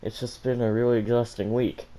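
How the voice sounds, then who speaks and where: A man's voice: medium pitch, flat delivery, brisk, mellow, lightly acted, low-quality recording. Man voicing a flustered, stammering teenage girl. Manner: stammering, blurting. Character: flustered, anxious teenage girl hiding a crush.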